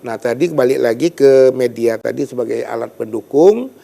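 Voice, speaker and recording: Male storyteller guest, gravelly and dark, agreeable and explanatory in a studio chat. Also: medium pitch, lively intonation, normal speed. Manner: agreeable, often affirming others, explanatory. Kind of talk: studio talk show explanation. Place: television studio.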